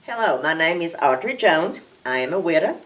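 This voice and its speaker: A woman introduces herself calmly, with a touch of humor. Her voice is low for a woman, and she is recorded from a distance. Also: moderate pace. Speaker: executive director.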